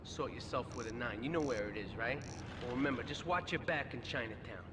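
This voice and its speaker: An actor playing a cocky young Mafia heir and mechanic in a video game, talking in casual slang. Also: male voice, medium pitch, a little fast, lightly acted. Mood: businesslike. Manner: casual, slangy wise guy. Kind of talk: scripted video game cutscene acting. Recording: reverberant garage-like hall, faint noise.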